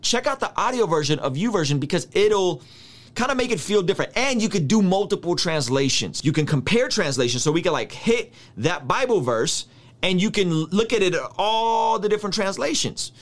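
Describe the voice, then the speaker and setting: A man in his thirties, a native Russian speaker, giving an energetic, slangy Bible study lesson. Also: high-pitched, fairly quick. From United States. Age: 30s. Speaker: Bible teacher. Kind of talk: casual video teaching.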